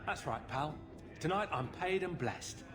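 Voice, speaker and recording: An actor playing a drunken, boastful but cowardly lowlife in a video game, with rough, blustering street talk. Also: male voice, animated, measured pace, crowd noise. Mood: cocky, belligerent. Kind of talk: video game cutscene acting.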